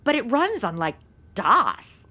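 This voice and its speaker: Actress as snarky slangy young woman in radio comedy, bright and extremely animated. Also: on the high side, slow, heavily acted. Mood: sarcastic, exasperated. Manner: sarcastic, flippant. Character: snarky modern young woman stuck in old radio shows. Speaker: star of an audio comedy. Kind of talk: comic audio drama, modern slangy banter.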